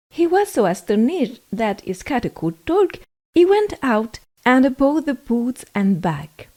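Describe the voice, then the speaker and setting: A woman reads a children's fairy tale in a fairly high voice. Her pitch moves about a great deal as she narrates, and she takes her time over each phrase, telling it expressively. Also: dark tone. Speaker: storyteller.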